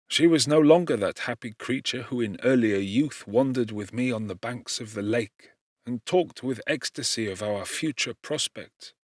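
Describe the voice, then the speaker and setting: A male audiobook narrator giving a measured, intense first-person reading of a classic novel. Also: medium pitch, fairly bright tone, no echo. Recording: dry close studio.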